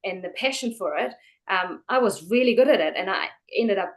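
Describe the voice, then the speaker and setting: A woman's voice: mid-range voice, expressive, fairly quick. A woman from Germany, speaking as a guest in a casual interview, chatty and full of fillers and restarts.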